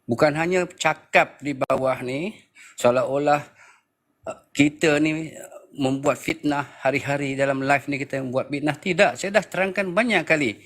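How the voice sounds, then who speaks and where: A man's voice: a little high, moderate pace, fairly bright tone. A man in his fifties with a Malaysian accent gives informal political commentary, speaking emphatically with repeated phrases and rhetorical questions. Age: 50s. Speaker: panel speaker in a live political discussion room.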